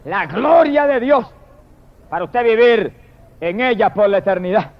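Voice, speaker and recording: Male evangelical preacher, high register, fervent declamatory delivery with drawn-out praise, on a dull low-fidelity recording. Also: lively intonation. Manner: emphatic and declamatory, drawing out exalted phrases, addressing listeners directly.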